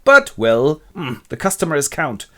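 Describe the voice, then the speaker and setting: A man's voice: fairly high pitch, huge pitch swings, moderate pace, fairly bright tone. A man voicing a naive, flustered young Englishman in a comic retelling. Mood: hesitant.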